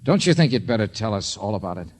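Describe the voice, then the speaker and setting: A man's voice: on the low side, big pitch swings, fast, a little mellow. A man playing a cool, wry private detective in a 1940s radio drama, with a dry, clipped, casually wisecracking manner. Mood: probing.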